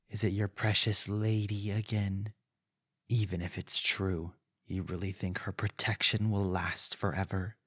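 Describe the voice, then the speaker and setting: An arrogant, possessive vampire lord in a fantasy audio roleplay. His voice is very deep and drawn out, pompous and taunting, recorded bone-dry and close. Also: no echo. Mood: mocking. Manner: pompous, taunting, theatrical and deliberate. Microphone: close, dry studio-style mic.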